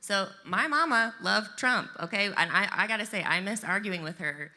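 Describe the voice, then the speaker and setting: A woman political candidate answering debate questions in a brisk, conversational way. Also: medium pitch, lively intonation, bright, forceful tone. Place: live debate in a large event venue. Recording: long reverb in a large venue.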